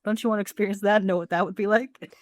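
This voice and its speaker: A woman's voice: medium pitch, fairly even intonation, fast talker, dry recording, studio quality. An American woman co-hosting a casual recap podcast, talking with wry sarcasm and mock persuasion. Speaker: podcast co-host. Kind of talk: casual TV and film recap chat.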